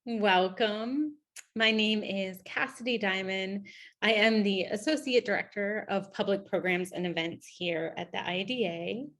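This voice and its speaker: A woman opens a screening event with friendly welcome announcements. Her voice sits at a middle pitch, with small fillers between her lines. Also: measured pace, fairly bright tone. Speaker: event host. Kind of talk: scripted welcome remarks.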